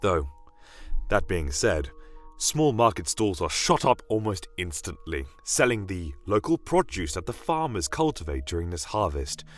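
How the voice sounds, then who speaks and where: A man plays a genial alchemist doctor from a fantasy audio tale, with a deep voice that rises and dips expressively. He welcomes the listener in florid, formal storytelling, with music playing underneath. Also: big pitch swings, a little slow. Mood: warm, festive. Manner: formal, florid, theatrical delivery. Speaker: in-character narrator. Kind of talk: scripted in-character fantasy storytelling.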